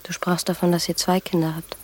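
A woman's voice: lowish voice, little pitch movement, fairly quick, a little hiss or hum, close to an everyday voice. An actress playing a sad, regretful woman in a radio drama, speaking in a subdued, solemn and poetic way. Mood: subdued resignation. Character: sad, regretful woman, once courted and deceived. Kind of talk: German literary radio drama acting.

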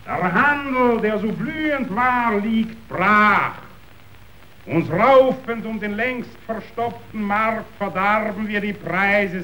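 A man's voice: high voice, sharp tone, a little hiss or hum, exaggerated voice, low-quality recording. A man acting a domineering, scornful meat tycoon in a verse radio play, declaiming theatrically. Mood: bitter lament.

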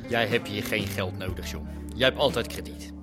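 An actor playing a smooth-talking gym owner in a Dutch radio drama, coaxing like a false friend. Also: male voice, on the low side, moderate pace, music in the background, natural voice. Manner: coaxing, chummy.

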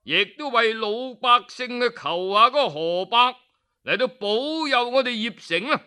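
A man's voice: very high voice, unhurried, pushed and bright, clean tone, pitched above the performer's own voice, exaggerated voice. A man voicing a stern magistrate from ancient times who questions and commands. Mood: commanding.

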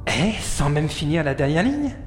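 A man's voice: on the high side, very animated, normal speed, slight background noise. An actor playing an anxious, courteous man in an audio drama, fretful and easily alarmed. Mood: puzzled courtesy. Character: anxious, courteous man, frightened companion of a heroine. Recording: echoey long reverb, faint music.

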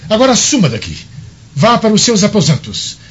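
A bossy irritable roman governor in a radio play, swinging pitch, scolds his wife, dull noisy audio. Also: wildly swooping pitch, fairly quick, husky, exaggerated voice. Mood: irritated, commanding. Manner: haughty, scolding, commanding. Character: imperious, irritable Roman governor, domineering husband. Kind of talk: radio drama acting. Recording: dull lo-fi audio, faint noise.

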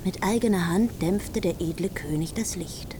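A woman's voice: lowish voice, normal speed, background noise, natural voice. A woman narrating a heroic epic as a composed storyteller in a literary radio drama. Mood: composed, storytelling. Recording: loud music behind the voice.

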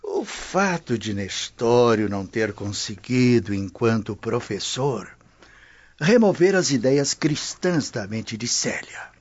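An actor playing a proud, somewhat stern Roman nobleman in a radio drama, speaking in a deliberate, measured way. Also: mid-range voice, sing-song, husky, heavily acted. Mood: reflective. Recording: dull lo-fi audio in a dry studio.

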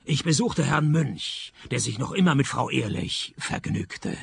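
An actor playing a sardonic, world-weary private detective in a comic noir radio play, speaking dryly. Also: male voice, mid-range voice, a little slow, gravelly, a little hiss or hum. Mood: dry, resigned. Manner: dry, deadpan, sarcastic.

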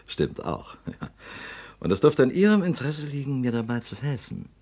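A man playing a scheming politician in a radio drama, smooth and insinuating. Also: medium pitch, very animated, moderate pace, darkish tone. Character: slick scheming male politician, smooth and sly.

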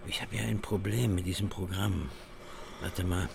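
Casting: older baffled colleague, gravelly low mutter, radio play, keyboard noise behind. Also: male voice, steady tone, normal speed. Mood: puzzled. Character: older, baffled, grumbling male office colleague. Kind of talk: naturalistic radio play dialogue. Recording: noisy office with keyboard typing.

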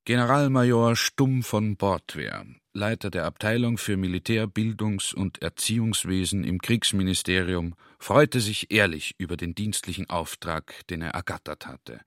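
A man narrates literary prose in a radio drama. His voice is very deep and it is recorded dry and close in a studio. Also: moderate pace, few pauses, fairly bright tone, dry recording. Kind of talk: literary narration with character dialogue in a radio play.

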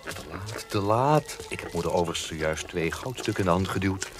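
An actor playing a wary rogue and travelling companion in a period audio drama, guarded and terse. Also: male voice, low-pitched, animated, brisk, music in the background. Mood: dry, amiable.

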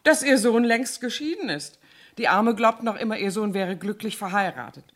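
A woman's voice: mid-range voice, animated, moderate pace, lightly acted. An actress in a German radio drama playing a chatty, indiscreet cleaning lady, garrulous and self-righteous. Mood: gossipy, eager.

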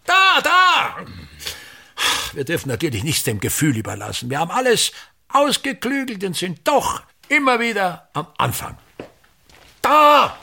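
Pompous, gravelly old theatre tyrant. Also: male voice, sits high, wildly swooping pitch, a little slow, bright, forceful tone, exaggerated voice. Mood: impatient, commanding. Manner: declamatory, pompous, ranting in fits and starts. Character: obsessive, domineering old theatre director, lecturing his son. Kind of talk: radio play acting.

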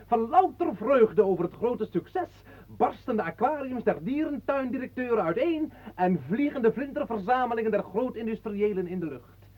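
An actor playing a sly, bombastic stage designer who declaims theatrically in a radio play. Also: male voice, very high-pitched, animated, normal speed. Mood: exalted, bombastic. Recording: dull muffled old radio recording.

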